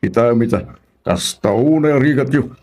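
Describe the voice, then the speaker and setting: A man with a Filipino accent playing a gravelly, caring older uncle in a radio drama. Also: mid-range voice, expressive, a little slow, a little mellow. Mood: sympathetic, gently chiding.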